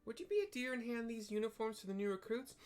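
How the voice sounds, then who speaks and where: A man's voice: extremely high pitch, big pitch swings, darkish tone, voice pushed up. A man voicing a flustered, overwhelmed young female receptionist from a fantasy game, fussing and exclaiming. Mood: startled, eager. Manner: exclaiming, fussing, hurried pleading.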